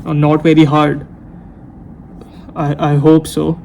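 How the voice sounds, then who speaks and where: Brief: young man around nineteen, unscripted late-night vlog, rambling with restarts, monotone, slightly raised pitch. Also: slow pace, gravelly, a little hiss or hum. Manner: rambling, hesitant, self-correcting. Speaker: YouTuber. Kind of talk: unscripted talk to camera. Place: room at night. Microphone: camera mic.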